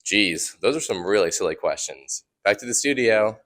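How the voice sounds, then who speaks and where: A teenage boy doing street interviews, casual and cheerful. His voice is low-pitched but bright and forward. It is recorded close, with a dry sound. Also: expressive, moderate pace, no room sound. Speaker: student reporter.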